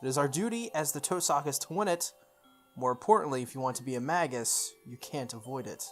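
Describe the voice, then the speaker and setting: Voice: medium pitch, fairly quick, faint music behind. A game playthrough host expressively reading story text aloud, stumbling and correcting along the way, with off-the-cuff asides.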